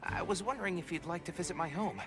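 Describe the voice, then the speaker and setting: An actor playing a kind, grateful villager in a video game, speaking sincerely and graciously. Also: male voice, high voice, fast, natural voice. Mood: warm, sincere. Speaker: game character. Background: game music.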